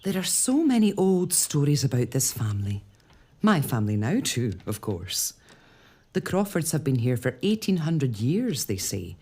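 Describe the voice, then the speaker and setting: Noblewoman, low voice, lively intonation, wistful, period drama. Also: full character voice. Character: young pregnant noblewoman, restless and uneasy.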